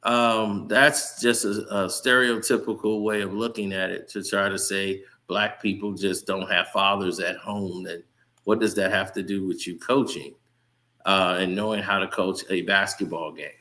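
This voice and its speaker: Heated male sports commentator addressing viewers, bright and forceful. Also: low-pitched, little pitch movement, normal speed. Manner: heated, ranting, talks straight to viewers. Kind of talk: casual sports commentary rant.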